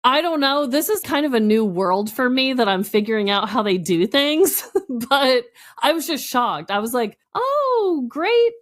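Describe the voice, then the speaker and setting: A woman's voice: high voice, measured pace. A woman co-hosting a podcast, telling personal stories in a casual, self-deprecating way, acting out quotes and often laughing as she talks. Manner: animated retelling with acted-out quotes, self-deprecating; laughs while talking. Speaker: podcast co-host. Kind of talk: casual chat, personal storytelling.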